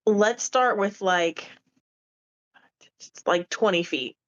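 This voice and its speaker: A woman plays a tabletop roleplaying game, talking casually and working out her choices aloud. Her voice is of average pitch for a woman. The audio is a little dull and lo-fi. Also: very slow.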